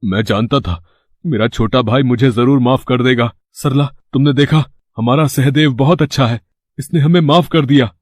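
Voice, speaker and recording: A greedy elder brother, acted by a man, with a mid-pitched voice and whiny, theatrical delivery. He is a false-sweet schemer from a moral tale, recorded dry and close. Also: moderate pace, no echo, lightly acted. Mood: false remorse.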